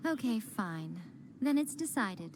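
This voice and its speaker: A woman's voice: fairly high pitch, big pitch swings, takes it slowly, heavy background noise. A woman voice-acting a sly, playful, haughty shrine priestess in an anime video game, teasing and theatrical. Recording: music behind the voice.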